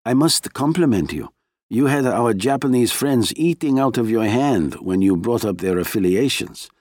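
A man's voice: medium pitch, expressive, normal speed, darkish tone, dry recording, lightly acted. A man voicing a shrewd, frank married woman with a confiding, teasing manner in an audiobook. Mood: amused, complimenting. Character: Maria, warm, shrewd, frank Russian wife and scientist.

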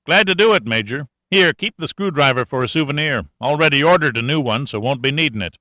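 Man doing a drawling country-boy sergeant, colloquial, excitable, bright voice, dry close sound. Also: a little high, a little fast, no room sound, pitched above the performer's own voice. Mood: folksy. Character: folksy country-boy male air force sergeant mechanic.

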